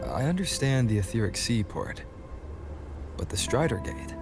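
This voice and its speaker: A man's voice: mid-range voice, very animated, normal speed. A man playing a reserved, curious traveling hero in a video game, speaking briefly and with understatement. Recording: background music under voice. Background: music.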